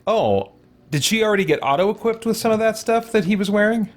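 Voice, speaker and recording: A man's voice: high voice, lively intonation, fairly quick. A man giving casual gameplay commentary as a let's play host, thinking aloud and muttering to himself as he goes.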